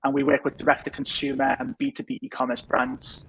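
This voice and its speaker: A man in his thirties from England with a softened Liverpool accent, chatting easily as a podcast guest with lots of fillers. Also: medium pitch, level tone, a little fast, slightly rough, poor audio. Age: 30s. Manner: easygoing, rambling with many fillers. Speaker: podcast guest, business founder. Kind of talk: casual interview chat.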